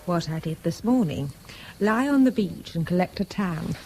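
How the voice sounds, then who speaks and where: An actress playing a relaxed Englishwoman in a radio drama, drily and playfully teasing. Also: lowish voice, moderate pace, a little hiss or hum, rough recording. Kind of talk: British radio drama acting.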